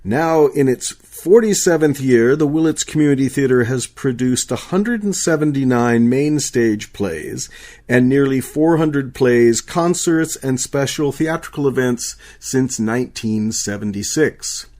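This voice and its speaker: A man's voice: mid-range voice, measured pace, darkish tone. Male radio announcer reading credits. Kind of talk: scripted credits narration.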